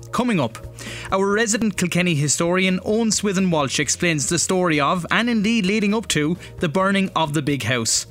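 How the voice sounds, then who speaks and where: A man's voice: high-pitched, fairly bright tone. An Irish man presenting a radio show as host, reading from a prepared script.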